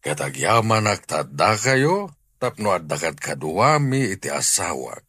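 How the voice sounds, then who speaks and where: A welcoming, wealthy Ilocano householder in a radio drama. His voice is very low and raspy, yet moves expressively up and down. He gives orders in a measured, authoritative way. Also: big pitch swings, fairly bright tone, dry recording, exaggerated voice. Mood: instructing. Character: generous, welcoming wealthy householder hiring servants.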